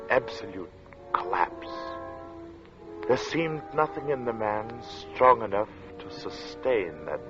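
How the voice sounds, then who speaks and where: An actor playing a brooding, haunted artist who narrates his story in a deliberate, dramatic 1940s radio style. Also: medium pitch, natural voice. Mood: absorbed, intense. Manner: deliberate, dramatic, with weighted pauses. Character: male artist recounting a strange, oppressive hot day, brooding and haunted. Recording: dull vintage radio recording with loud music.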